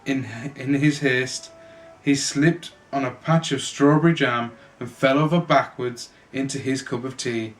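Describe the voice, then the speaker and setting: A man's voice: mid-range voice, fairly even intonation, measured pace, low-quality recording. A man narrating a children's storybook aloud as an amateur reader, now and then stumbling and correcting himself. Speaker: amateur storybook reader, a father reading for his family. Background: music.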